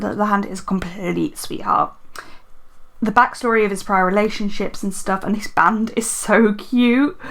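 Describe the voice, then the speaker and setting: A woman chatting casually while she decorates a planner and talks about books, rambling with lots of fillers and self-corrections, her voice a little croaky from a sore throat. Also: mid-range voice, a bit bright. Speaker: planner and book vlogger.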